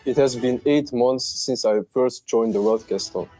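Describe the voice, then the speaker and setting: A man's voice: mid-range voice, a little slow, a little mellow, soft background music, poor audio. A young man giving an earnest testimonial about his experience. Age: young adult. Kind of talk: testimonial interview.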